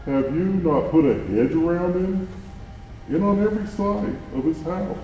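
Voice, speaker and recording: A man playing solemn, commanding supernatural figures in a church Bible drama, speaking in a deliberate, declamatory way. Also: high voice, dull audio, slight background noise. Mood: challenging. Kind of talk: church class Bible drama, recited scripture. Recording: very echoey, distant, faint music behind.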